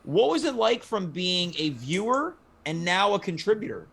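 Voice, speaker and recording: A man's voice: high-pitched, big pitch swings, moderate pace, vocal fry, a bit bright. A man hosting a casual panel livestream, addressing guests and viewers with mock-formal, showy patter. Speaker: host of a livestream show.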